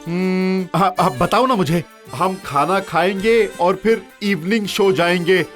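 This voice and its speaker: A flustered high-voiced cartoon husband. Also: male voice, unhurried, a bit bright, soft background music. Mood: cheerful. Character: excitable young husband who stammers when flustered. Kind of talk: animated cartoon dubbing.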